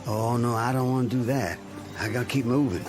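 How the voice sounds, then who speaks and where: An actor playing a polite, wounded young Confederate soldier with a Southern American accent, in humble radio drama style. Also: male voice, medium pitch, a little fast, close to an everyday voice. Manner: deferential, plain-spoken country talk. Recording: music behind the voice.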